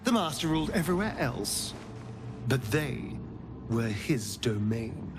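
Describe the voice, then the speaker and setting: An actor playing a bitter, sardonic, vengeful vampire in a video game, with a haughty, theatrical delivery. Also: male voice, mid-range voice, very animated, unhurried, music in the background. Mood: grim, resolved. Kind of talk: cinematic video game voice acting.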